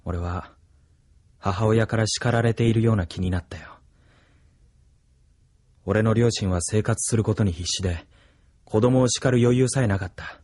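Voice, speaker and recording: An actor playing a remorseful, gentle soldier in an intimate anime audio drama. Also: male voice, lowish voice, moderate pace, pauses often, no echo, close to an everyday voice. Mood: sorrowful, tender.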